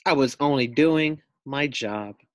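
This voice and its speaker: A man voicing an excitable, heroic little guinea pig in a children's storybook, with bold, dramatic, drawn-out exclamations. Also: mid-range voice, no echo, studio quality, natural voice. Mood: proud, heroic. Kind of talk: children's storybook read-aloud character.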